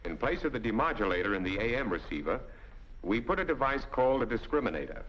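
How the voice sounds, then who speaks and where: A man's voice: mid-range voice, sing-song, quick pace. American man narrating, dull vintage film sound. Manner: didactic, methodical, step-by-step explaining. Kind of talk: scripted instructional narration.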